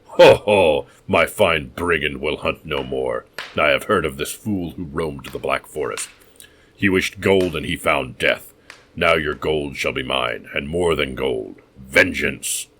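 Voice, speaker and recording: A man voicing a mad, vengeful innkeeper, an escaped prisoner who booms and roars with wild laughter. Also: measured pace, fairly bright tone, a little hiss or hum, full character voice. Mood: roaring, then ranting.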